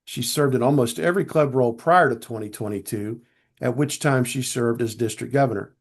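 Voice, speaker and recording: A man hosting an online webinar, speaking in a measured, formal, deliberate way over a video call mic, his voice a little strained as he loses it. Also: medium pitch, breathy edge. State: losing his voice. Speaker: webinar host. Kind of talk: scripted webinar introduction.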